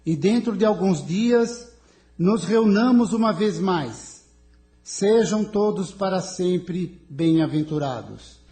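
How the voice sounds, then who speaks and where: A man playing a solemn, benevolent leader of an alien council in an audio drama, speaking in a measured, formal way. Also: high-pitched, lively intonation, dark tone, faint music behind, full character voice. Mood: solemn, concerned.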